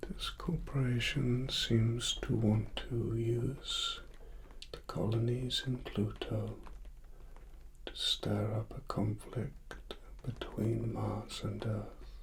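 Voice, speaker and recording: Hushed, slow, creaky-voiced British man. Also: fairly low pitch. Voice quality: soft, breathy, often near whisper. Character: secretive cyberpunk investigator recruiting an ally. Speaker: ASMR performer. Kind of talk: soft-spoken ASMR cyberpunk roleplay.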